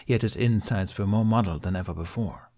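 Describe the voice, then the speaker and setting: A man narrates a dramatic audiobook from a script. His voice sits somewhat low, and he reads in a storytelling style. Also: brisk, warm tone.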